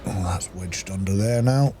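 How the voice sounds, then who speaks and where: A man's voice: sits low, normal speed, warm tone, soft background music. A man giving casual commentary as he plays a video game, half talking to himself. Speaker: gameplay streamer. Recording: clearly noisy background.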